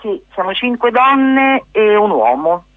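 A woman, chatting as a playwright-actress guest in a casual radio interview, often halting and rephrasing herself. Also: mid-range voice, sing-song, no echo, narrow, tinny audio. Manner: chatty, halting and self-correcting, with amused asides. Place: radio studio.

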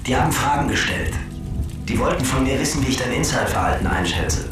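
Man acting as exasperated male colleague, very animated, heavily echoed and distant, reproachful, radio drama. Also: medium pitch, quick pace, natural voice. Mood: exasperated, worried. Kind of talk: naturalistic radio crime drama dialogue. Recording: very echoey, distant call with music behind.

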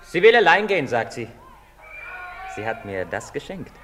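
An actor playing a restless, defiant young outcast in a sardonic, intense theatrical manner. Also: male voice, mid-range voice, big pitch swings, moderate pace, fairly bright tone, slight background noise. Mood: brisk. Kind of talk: theatrical stage-play acting. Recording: long reverberant hall sound.

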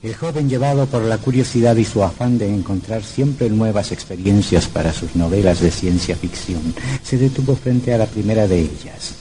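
A man's voice: lowish voice, moderate pace, warm tone, a little hiss or hum, poor audio. A man narrating a scripted old radio horror drama in a dramatic, suspenseful manner.

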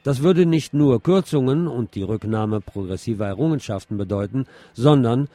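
A man's voice: medium pitch, expressive, moderate pace, dark tone, dry recording. A man reading scripted news as a radio presenter.

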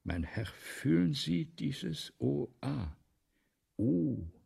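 Husky, grandiose old writer. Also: male voice, medium pitch, very animated, mellow, heavily acted. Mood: grandiose, musing. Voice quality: husky, breathy, often dropping to a whisper. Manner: theatrical, pompous, halting and repetitive. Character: vain, ailing, forgetful old French writer, grandiose and rambling. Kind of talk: 1970s German radio play acting.

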